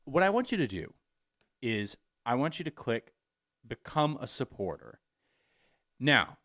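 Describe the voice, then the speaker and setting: A man's voice: on the low side, a bit bright. A man giving an informal promotional announcement, explaining step by step and repeating himself. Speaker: campaign spokesperson.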